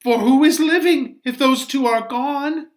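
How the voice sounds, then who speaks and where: A man's voice: very high voice, unhurried. A man voicing an anguished, passionate young Shakespearean lady, declaiming verse theatrically with fierce emotion. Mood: despairing.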